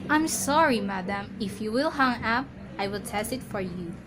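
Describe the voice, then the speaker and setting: Polite, high-voiced telephone operator played by a woman. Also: animated, moderate pace, over music, close to an everyday voice. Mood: polite, detached. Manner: formal, procedural. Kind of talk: amateur radio drama acting.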